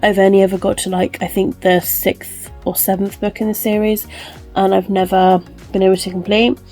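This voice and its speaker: A woman's voice: mid-range voice, flat delivery, moderate pace, warm tone, faint music behind. A young woman chatting casually in a vlog, rambling with lots of ums and likes. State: wheezy, recovering from illness. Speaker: book vlogger.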